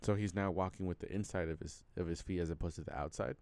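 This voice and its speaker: A man's voice: sits low, steady tone, fast talker, darkish tone, dry recording. A man co-hosting a podcast, casually explaining things in an easygoing conversational way. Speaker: podcast co-host.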